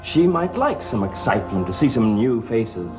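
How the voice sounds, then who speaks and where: An actor playing an insistent, inquisitive gentleman in a vintage gothic television soap, pressing and persuasive. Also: mid-range voice, very animated, normal speed, lightly acted, rough recording. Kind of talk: 1960s-70s TV soap acting. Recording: old TV soundtrack with music underneath.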